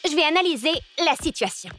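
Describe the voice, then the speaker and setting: High-voiced brainy cartoon girl. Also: very animated, quick pace, music in the background. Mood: calm, analytical. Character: composed, analytical, brainy teenage cartoon girl. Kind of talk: French cartoon dubbing.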